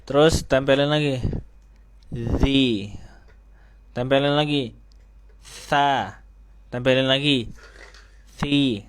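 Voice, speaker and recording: A male Quran recitation teacher giving a tajwid lesson, patiently explaining where each letter is formed and slowly demonstrating the sounds in repeated drills, with an easy, joking manner toward his students. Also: medium pitch.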